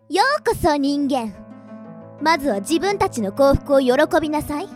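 A little demon aristocrat girl in an anime-style radio play. Her voice is very high and leaps about in pitch. She talks down to everyone with pompous, commanding airs and takes offence easily. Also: animated, a little slow, a little mellow, faint music behind. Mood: haughty. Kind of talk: Japanese anime-style radio drama acting.

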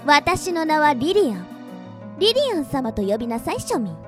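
A woman's voice: high-pitched, very animated, normal speed, over music. A woman playing an imperious little noble demon girl in a radio drama, pompous, condescending and easily offended. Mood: haughty. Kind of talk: Japanese anime-style radio drama acting.

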